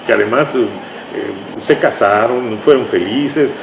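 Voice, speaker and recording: An older man with a Mexican accent, reminiscing conversationally as an interview guest, explaining things in a halting way and checking for agreement. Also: on the low side. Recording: echoey room with clear background noise.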